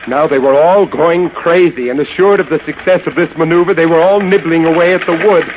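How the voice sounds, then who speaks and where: An actor playing a lighthouse keeper, narrating a horror tale with dramatic, breathless intensity and hesitant stammers in old-time radio style. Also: male voice, a little high, fairly quick, background noise, close to an everyday voice. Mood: urgent terror. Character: male lighthouse keeper recounting a terrifying rat siege. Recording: dull old radio recording under loud music.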